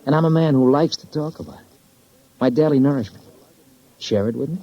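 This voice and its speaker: A man's voice: medium pitch, brisk, gravelly, warm tone. An actor playing a sardonic, embittered young man with an American accent, clipped and slangy, in 1950s radio crime drama style. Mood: bitter, sardonic. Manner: clipped, sarcastic, slangy wisecracks. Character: bitter, sardonic young man, failed lawyer, tough talker. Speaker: actor playing a murder suspect. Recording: muffled vintage 1950s radio recording.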